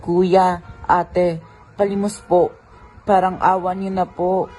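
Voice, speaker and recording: Woman playing earnest young beggar boy, lower voice. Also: flat delivery, slow pace, mellow, soft background music, rough recording. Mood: pleading. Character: poor young beggar boy, pleading, honest older brother. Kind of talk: amateur radio drama acting.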